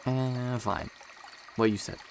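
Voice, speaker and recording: A man playing a tender, earnest young emperor in a romantic audio drama, gentle and sincere, with gentle teasing. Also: medium pitch, fairly even intonation, takes it slowly. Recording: clear noise with trickling water behind. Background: running creek water.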